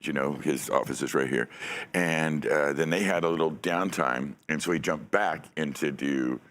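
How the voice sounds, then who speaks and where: A middle-aged man hosting a podcast from a dark studio set, talking on a studio mic in a rambling, profane way and laughing often. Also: extremely low pitch, normal speed. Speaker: podcast host. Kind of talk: casual chat. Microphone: studio mic on a stand.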